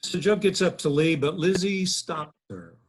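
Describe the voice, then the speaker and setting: A man reading the stage directions aloud at a table read in a plain, steady way. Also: on the high side, moderate pace, a little hiss or hum.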